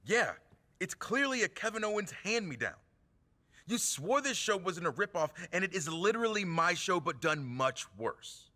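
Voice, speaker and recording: A man's voice: high-pitched, big pitch swings, normal speed, bright, forceful tone, heavily acted. A man playing a brash, mocking wrestler and gamer in a video game, with emphatic, taunting, theatrical delivery. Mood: mocking, indignant. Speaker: rival wrestler who hosts a gaming show. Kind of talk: scripted video game cutscene acting.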